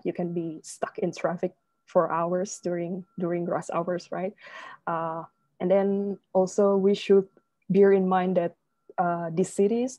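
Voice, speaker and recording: A woman from Indonesia speaks as an interview guest, measured and pausing often on fillers. Her voice is mellow and low-set, held in a narrow, even range. The sound is dry and close. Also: no echo. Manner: measured, many filler pauses. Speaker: guest researcher. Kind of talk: remote interview answers.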